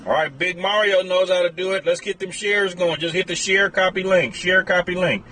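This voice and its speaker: A man's voice: high voice, fairly even intonation, normal speed, bright, forceful tone, a little hiss or hum. A man live-streaming, pushing viewers to share and like while giving casual commentary on a street scene. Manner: hypes viewers, repeatedly urging shares and likes. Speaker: live streamer monitoring a police scanner.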